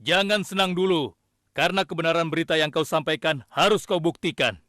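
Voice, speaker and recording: A man voicing a stern, commanding king and prophet in a cartoon, speaking in a measured, regal way. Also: a little high, sharp tone. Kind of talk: cartoon voice acting. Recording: music bed behind.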